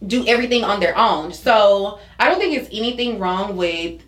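A woman's voice: medium pitch, animated, moderate pace. A young American woman speaking as a podcast guest in a casual, candid and emphatic way, as if giving advice. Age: young adult. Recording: roomy, echoey sound.

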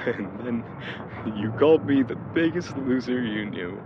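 A man acting in an audio drama as a lovestruck young man, awkwardly tender and teasing, with a halting, hesitant way of talking. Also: medium pitch, lively intonation, lo-fi sound, background noise, lightly acted. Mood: wistful reminiscing.

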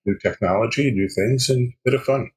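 An older man answering interview questions as a guest, explaining in a measured way with pauses between phrases. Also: mid-range voice, poor audio. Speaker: podcast guest, sales executive.

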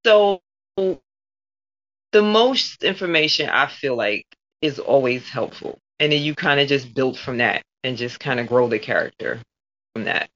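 Woman actor chatting in an interview, low but bright voice, kinda muffled lo-fi audio. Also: animated, measured pace. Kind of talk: conversational interview answers. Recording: dull lo-fi audio.

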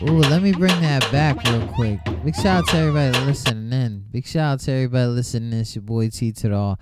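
A man with a high voice hosting online radio as a DJ, giving slangy, repetitive shout-outs. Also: quick pace. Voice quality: high-pitched voice. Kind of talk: hype radio shout-outs over music. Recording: music playing under the voice. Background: music.

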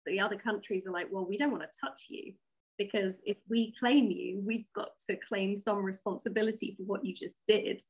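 A woman speaking as an interview guest, a writer telling stories in a casual, wry and animated way. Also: medium pitch, normal speed, husky, muffled recording. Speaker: guest, audio drama writer.

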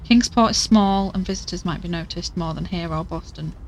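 A woman's voice: fairly low pitch, brisk, noisy background, natural voice. A woman playing a determined, wry investigator in a horror audio drama, plain-spoken and conversational with some urgency. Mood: wry, resolute. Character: determined, wry female investigator racing against doom.

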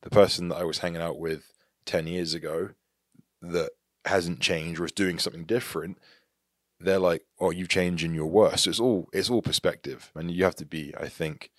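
A man's voice: low voice, steady tone, moderate pace, no room sound, studio quality. An English man in his thirties, a podcast guest talking candidly and reflectively in a casual interview. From England. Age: 30s.

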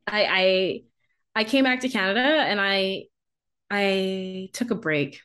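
A woman's voice: mid-range voice, slow pace, fairly bright tone, smooth. A woman with a light Bahamian accent, a coach telling her story candidly on a podcast, often restarting her sentences. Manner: candid, repeats and restarts, laughs. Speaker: career and business coach, guest.

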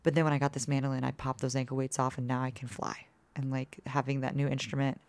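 A woman in her thirties with a low voice, chatting casually as a musician guest and filling her talk with "like". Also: flat delivery, fast talker, darkish tone, no echo. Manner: chatty, peppered with "like" fillers. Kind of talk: casual interview chat.

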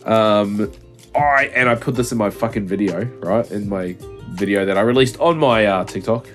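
A man's voice: fairly low pitch, moderate pace, a bit bright, faint music behind. A young man co-hosting a podcast over a call, congested, laughing often and swearing as he chats casually. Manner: laughs often, swears, trails off mid-sentence. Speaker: podcast co-host. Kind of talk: casual chat. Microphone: remote call mic.

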